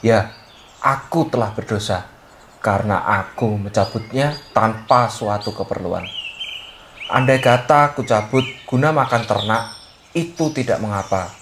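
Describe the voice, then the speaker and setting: Pious old male sage acted by a man for children's folktale; lowish pitch, solemn, remorseful gravity, slight outdoor bird noise. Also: a bit bright. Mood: solemn, admonishing. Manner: solemn, admonishing, measured. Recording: noisy track with birdsong. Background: birdsong and outdoor ambience.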